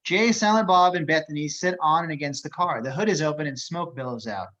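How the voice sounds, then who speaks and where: A man's voice: a little high, fairly quick, a bit bright. A man reading out the action descriptions of a screenplay as scripted narration.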